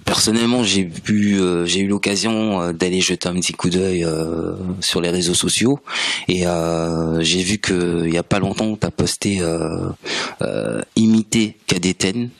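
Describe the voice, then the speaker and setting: A male radio host interviewing an artist in the studio, halting and full of fillers as he asks his questions. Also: deep voice, vocal fry, no room sound. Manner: halting, many fillers and restarts.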